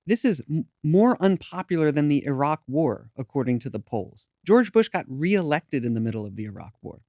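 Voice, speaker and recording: Man, 30s, activist guest, emphatic, earnest, fairly high voice, dry close sound. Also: big pitch swings, normal speed, mellow, no echo. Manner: earnest, emphatic, some stumbles. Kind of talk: impassioned interview talk.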